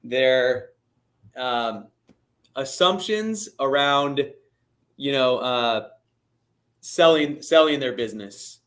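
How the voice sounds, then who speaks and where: A man's voice: mid-range voice, lively intonation, takes it slowly, pauses often, fairly bright tone. A man appearing as a guest wealth manager, hesitant and searching for his words. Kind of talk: casual interview chat.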